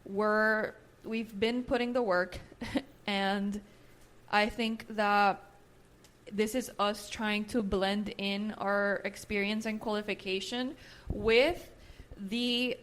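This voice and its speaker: A woman's voice: medium pitch, a bit bright, clear, resonant tone. Young woman from nicaragua, spanish first language, therapist co-host chatting easy, lots of "you know". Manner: conversational, fills with "you know" and "right". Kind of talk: casual chat.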